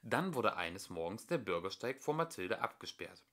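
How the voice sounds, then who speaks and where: A young man reading a children's storybook aloud as an amateur narrator, in an expressive storytelling manner. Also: on the low side, moderate pace. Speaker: amateur audiobook reader.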